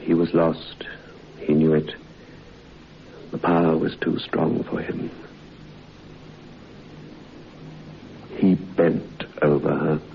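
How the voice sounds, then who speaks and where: Low-voiced, sombre horror storyteller. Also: male voice, level tone, creaky, exaggerated voice. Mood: grim. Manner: measured, suspenseful. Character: sombre male storyteller relating a friend's horrifying ordeal. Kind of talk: dramatic radio horror narration. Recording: muffled, noisy old radio recording.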